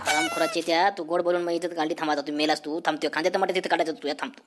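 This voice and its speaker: Guy as a stern village teacher in a comedy cartoon, talks super fast, rural marathi accent. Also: on the high side, level tone, over music, close to an everyday voice. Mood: furious. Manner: stern, scolding, threatening. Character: strict, short-tempered village male schoolteacher. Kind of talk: comic cartoon dialogue.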